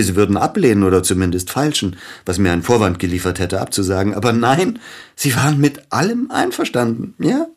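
A very low, husky male voice for a radio play: a widowed concert pianist haunted by guilt. He speaks formally and haltingly, pressing insistently for answers. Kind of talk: German radio drama acting.

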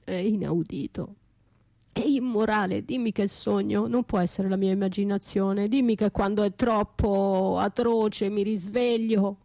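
An actress playing an anguished, bitterly lyrical battered wife left for dead, in an intimate, halting radio theatre monologue that drifts into whispers. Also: female voice, medium pitch, steady tone, vocal fry, warm tone. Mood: bitter, accusing. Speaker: stage and radio actress performing her own monologue. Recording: roomy echo, faint music behind.

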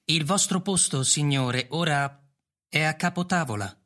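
An actor playing a dutiful robot butler in a radio drama, speaking formally in short, measured phrases. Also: male voice, fairly high pitch, pushed and bright. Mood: deferential.

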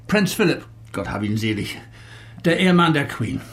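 A man's voice: on the high side, sing-song, normal speed. A man playing an older, evasive suspect in a German radio crime drama, sardonic and dismissive in his delivery. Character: scornful, evasive older male suspect, loyal ex-informant.

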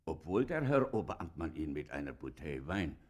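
An actor playing an old, suspicious, servile town gatekeeper in a German radio play, talking in a folksy, excitable, deferential way. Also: male voice, rumbling low, brisk, full character voice.

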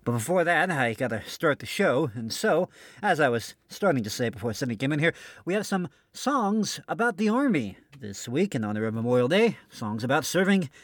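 A man's voice: a little high, very animated, moderate pace, dry recording. A man hosting an old-time radio show in scripted comic banter, mock-serious with some stammering.

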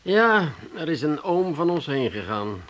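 A man's voice: on the high side, big pitch swings, a little slow, a bit bright. A man playing a solemn, pompous and sentimental mourner in a comedy radio play. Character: pompous, sentimental, self-dramatizing male mourner.

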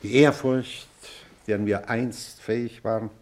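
Actor as slow, solemn male orator. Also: mid-range voice, steady tone, lightly acted. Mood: solemn, uplifting. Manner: solemn, deliberate, rhetorical. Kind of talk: theatrical radio play oratory.

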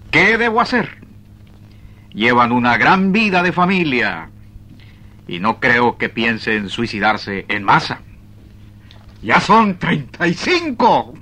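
Slow-talking, exasperated small-town freight agent man. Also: on the high side, pushed and bright, heavily acted. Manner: muttering to himself, deliberate, halting dictation. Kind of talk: 1970s-80s comic radio drama acting.

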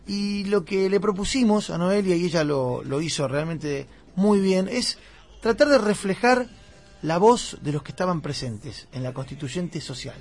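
A man's voice: sits high, lively intonation, unhurried, darkish tone. A man with an Argentine accent, hosting a radio magazine in casual, opinionated chat and laughing often. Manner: laughs often, indignant asides. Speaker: radio host.

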